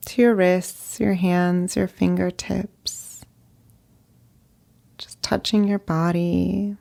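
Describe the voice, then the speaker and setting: A woman leading a guided meditation as a therapist, speaking gently in short phrases with long pauses. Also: medium pitch, vocal fry. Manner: slow, gentle, pausing between short phrases.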